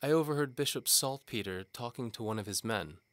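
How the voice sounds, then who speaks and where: A man's voice: medium pitch, lively intonation, a little fast, fairly bright tone, dry recording, voice pushed up, close to an everyday voice. A man voicing a timid, cowed young maid in an audio drama, hesitant and deferential. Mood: frightened, deferential.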